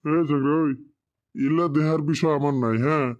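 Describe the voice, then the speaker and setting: A man as a callous, high-pitched bullying boss. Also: little pitch movement, brisk, dull audio, natural voice. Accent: rural Bengali dialect. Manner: scolding, dismissive. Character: callous, exploitative boss and his bullying henchman. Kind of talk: cartoon drama dialogue.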